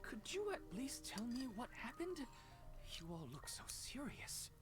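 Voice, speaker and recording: An actress playing a polite, conscientious and concerned event organiser in a video game. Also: female voice, fairly high pitch, big pitch swings, a little slow, over music. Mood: reassuring.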